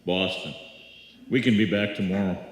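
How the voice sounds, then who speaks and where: An actor playing a wry, self-pitying musician neighbor with a hesitant, mournful delivery in a live radio comedy. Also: male voice, sits low, fairly even intonation, normal speed, a little hiss or hum, natural voice. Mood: hesitant, hopeful. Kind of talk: live old-time radio comedy acting. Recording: echoey hall, live stage performance.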